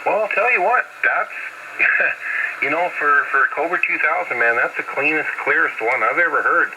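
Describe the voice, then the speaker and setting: A man's voice: fairly high pitch, moderate pace. Man over very noisy CB radio. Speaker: CB radio operator. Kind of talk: casual CB radio chat. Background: loud radio static and noise.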